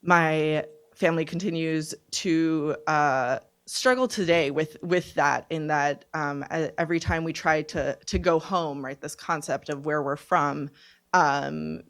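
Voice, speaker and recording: Halting young woman giving testimony. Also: sits low, steady tone. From born in Serbia, raised from infancy in Canada. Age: 20s. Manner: halting, deliberate, reflective. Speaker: panelist, refugee survivor. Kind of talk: personal testimony at a panel discussion. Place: conference event, speaking on a panel.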